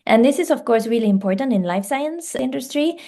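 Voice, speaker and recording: Mellow-voiced woman, hesitant. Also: sits high, lively intonation, a little fast. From Finland. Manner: fluent second-language speaker, hesitant fillers and restarts. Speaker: guest, life science director. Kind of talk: podcast interview.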